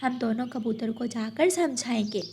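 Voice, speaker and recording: A woman voicing a kind, helpful female sparrow in a cartoon story, gentle and reassuring. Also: on the high side, expressive, normal speed, background noise. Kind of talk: Hindi animated moral-story acting.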